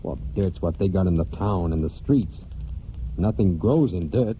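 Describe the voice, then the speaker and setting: A man's voice: deep voice, animated, moderate pace, slight background noise. An actor playing a plain-spoken, proud farmhand with folksy rural speech, telling his story in a 1940s radio drama. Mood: warm, earnest. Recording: dull old 1940s radio broadcast.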